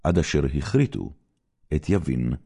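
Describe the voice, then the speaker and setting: A man reading scripture as a narrator, in a solemn, formal, dramatic style with measured, declamatory phrasing. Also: very deep voice, animated, creaky, dark tone, husky.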